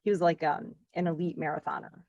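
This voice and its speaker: A woman in her forties from Connecticut, chatting as a podcast guest in a lively, talkative way with frequent laughs and filler words. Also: fairly low pitch, fast, dry recording, muffled recording. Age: 40s. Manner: chatty, animated, laughs often, lots of "like". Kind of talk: casual interview chat.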